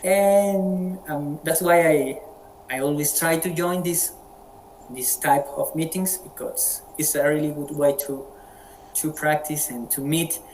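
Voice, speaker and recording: Colombian man moderating, halting and repetitive. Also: on the high side, a little mellow, a little hiss or hum, poor audio. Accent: Colombian Spanish. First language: Spanish. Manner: friendly, halting with frequent word repeats. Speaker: meeting moderator. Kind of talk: casual online group chat. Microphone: video call.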